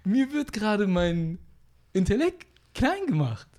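A young man in casual conversation, telling stories in an animated way with slangy fillers, getting louder when he is indignant. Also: sits high, measured pace. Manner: animated storytelling, rising loud when indignant. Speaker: guest.

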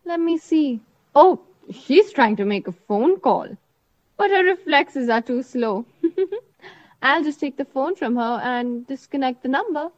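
A woman's voice: sits high, expressive, darkish tone, dull audio. A woman acting in an audio drama as a confident leader coolly instructing her partner on a covert exercise. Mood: assured. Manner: instructing, deliberate, commanding.

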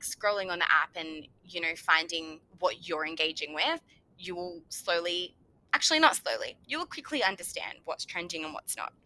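Bright, slightly hoarse woman podcaster. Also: lowish voice, no room sound, low-quality recording. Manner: chatty, upbeat advice-giving. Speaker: podcast host. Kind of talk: solo marketing podcast talk.

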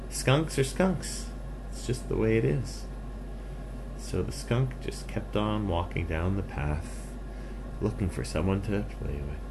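A man tells a children's bedtime story in simple, playful phrases. His voice is lowish and creaky, moving slowly with an animated rise and fall. Noise is clear on the recording.

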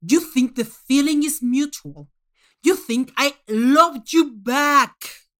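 A woman voicing a furious, contemptuous monstrous male alien judge in a story, scathing and emphatic as the voice rises toward shouting. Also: sits high, slow pace, voice pushed up.

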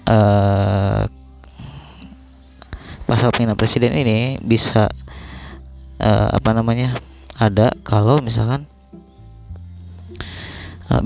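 Young man, slightly hoarse, lowish creaky voice, casual rambling podcast host, colloquial, thinking aloud. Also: steady tone, unhurried, pauses often, darkish tone, faint music behind. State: slightly hoarse after illness. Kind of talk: casual solo podcast talk.

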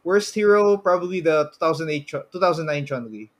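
Man, Filipino accent, slightly high pitch, casual podcast co-host, hesitant rambling delivery. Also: expressive, a little fast. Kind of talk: casual chat about movies and games.

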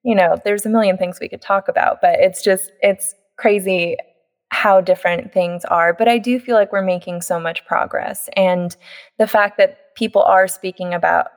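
A woman hosting a podcast, talking in a relaxed, agreeable way with casual fillers. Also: mid-range voice, moderate pace. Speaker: podcast host.

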